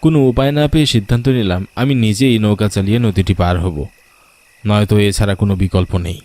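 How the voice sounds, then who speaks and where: A man's voice: fairly low pitch, steady tone, a little fast, dark tone. A man telling a scripted first-person horror story as a dramatic storyteller.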